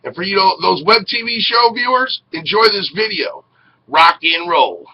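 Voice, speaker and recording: A man's voice: high voice, animated, moderate pace. A middle-aged man hosting a web radio show in casual, folksy, effusive talk to the camera on a headset. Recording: dull lo-fi webcam audio with noise.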